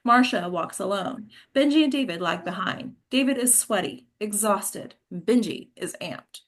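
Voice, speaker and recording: A woman's voice: mid-range voice, expressive, a little slow. A woman narrating the stage directions at a script table read, occasionally stumbling over words.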